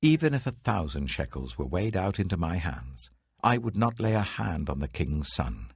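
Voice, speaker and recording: A man's voice: low voice, sing-song, normal speed, gravelly, breathy edge, dry recording, clean studio sound, exaggerated voice. A man voicing an earnest, principled soldier protesting emphatically in a scripture reading. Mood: defiant, earnest. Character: earnest, principled soldier defying his commander.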